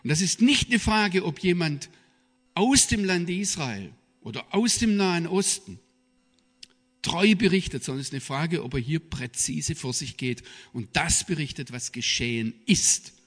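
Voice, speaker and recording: A middle-aged man with a southwest German accent giving a public lecture in an emphatic, deliberate manner. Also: fairly high pitch, expressive, fairly bright tone. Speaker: guest speaker, journalist and theologian. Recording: reverberant hall, long echo.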